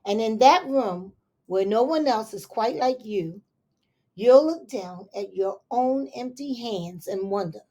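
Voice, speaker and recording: Slow, gentle storytime teacher, woman. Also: fairly high pitch. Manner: slow, expressive storytime reading with soft asides to listeners. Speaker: elementary school teacher. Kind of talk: reading a picture book aloud to young children.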